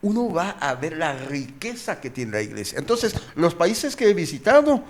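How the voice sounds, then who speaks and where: A man's voice: a little high, big pitch swings, moderate pace, a little hiss or hum. A man speaking as a pastor, teaching informally in a group discussion, repeating phrases and posing questions. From born and raised outside Mexico, living in Mexico. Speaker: pastor, guest explainer.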